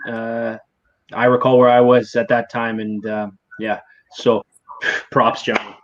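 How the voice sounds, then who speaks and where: A man's voice: medium pitch, little pitch movement, unhurried. A man in his twenties in a casual live group chat, friendly and encouraging, calling people "dude" and "man". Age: 20s. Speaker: livestream guest. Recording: dull lo-fi audio with faint hiss.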